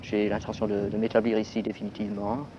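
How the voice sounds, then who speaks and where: A low-voiced man from England speaks hesitantly, pausing often on fillers as he answers questions. The sound is dull and faintly hissy, a 1960s radio archive. His tone stays calm and measured. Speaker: graduate student.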